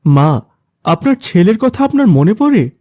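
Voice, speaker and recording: An earnest village man, voiced by a male actor in a cartoon moral tale. His soft, mellow voice moves widely in pitch as he pleads with concern, recorded dry. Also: big pitch swings, unhurried, dry recording. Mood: concerned, pleading. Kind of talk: cartoon dialogue.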